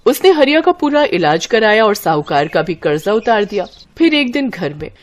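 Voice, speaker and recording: Woman storyteller, medium pitch. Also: big pitch swings, normal speed. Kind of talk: scripted story narration.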